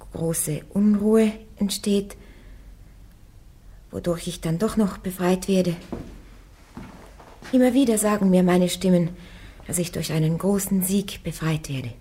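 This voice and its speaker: An actress playing a devout young woman warrior on trial, answering her judges firmly and calmly in a radio drama. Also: on the low side, slow, long pauses, breathy edge. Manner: firm, calm, defiant answers.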